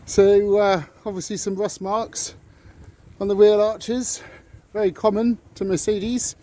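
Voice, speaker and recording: A man's voice: high voice, level tone, unhurried, dark tone. A man chatting casually as he vlogs about his car, with some background noise from cars and surroundings. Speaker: car vlogger. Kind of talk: casual vlog chat.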